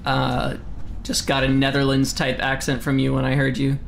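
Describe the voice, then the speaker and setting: Young male streamer from the US, casual chat at a desk mic, mid pitch, faint noise floor, prone to loud outbursts. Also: completely flat delivery, moderate pace, creaky. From United States. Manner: reads chat aloud, chatty, with sudden loud exclamations. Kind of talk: casual live-stream chat while gaming. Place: home room, seated in desk chair.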